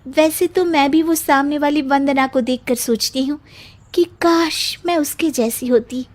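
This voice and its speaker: A woman's voice: high voice, measured pace. A woman voicing a pretty, sociable friend in a cartoon story, sweet and wistful. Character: slim, pretty, sociable woman friend, wishing she were taller.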